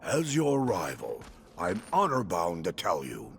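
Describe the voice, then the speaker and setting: An actor playing a boastful, melodramatic warrior who wanders between dimensions, speaking in a grandiose, florid, theatrical way with a gravelly voice in a video game. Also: male voice, huge pitch swings, a little slow, a little hiss or hum, exaggerated voice. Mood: boastful, dramatic. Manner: grandiose, florid, swinging from hushed to booming.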